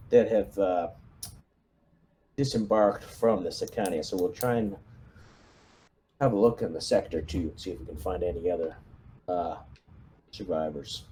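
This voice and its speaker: A space marine squad commander, played by a man at a gaming table, with a mellow, relaxed tone. He gives orders in a measured, businesslike way, pausing as he thinks. A faint noise sits behind the voice. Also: on the low side, pitched below the performer's own voice, close to an everyday voice. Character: male space marine squad commander giving briefings and reprimands. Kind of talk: in-character tabletop roleplay briefing.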